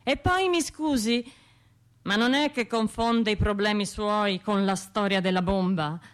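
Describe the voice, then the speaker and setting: An actress voicing a blunt, reproving man from an audience in a radio drama, measured, pointed and condescending. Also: mid-range voice, clean tone, lightly acted.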